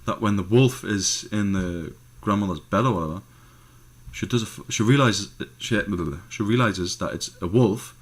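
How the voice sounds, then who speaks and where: Man, slightly low pitch, podcast co-host, casual chat, crude humour, heavy swearing. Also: normal speed.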